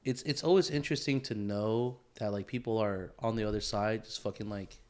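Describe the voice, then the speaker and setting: A young man co-hosting a podcast in relaxed conversation. He rambles, restarts his thoughts and leans on filler words, with an easy profane streak. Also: lowish voice, a little fast. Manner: rambling, heavy filler words, frequent swearing. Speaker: podcast co-host. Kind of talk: casual chat.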